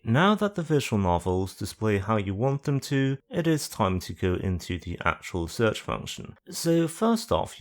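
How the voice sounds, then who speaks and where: A man calmly explaining a website's search features as a voice-over for a screen-recorded tutorial, listing the options one by one. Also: fairly low pitch. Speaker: video guide host. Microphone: voice-over over screen capture.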